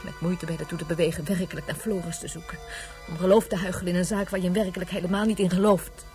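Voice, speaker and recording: A woman playing a grieving, reproachful mother in a radio play, with dramatic, halting, emphatic delivery. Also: fairly low pitch, steady tone, mellow, faint music behind, lightly acted. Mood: reproachful, bitter. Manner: dramatic, halting, emphatic, drops to breathy whispers. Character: despairing, reproachful grieving mother of a lost child.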